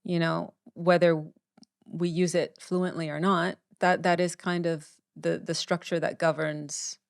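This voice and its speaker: Smooth-voiced woman writer, close and dry. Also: fairly low pitch, flat delivery, measured pace, dry recording. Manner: thoughtful, repeats words while forming ideas. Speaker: writer, podcast guest. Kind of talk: candid conversational interview.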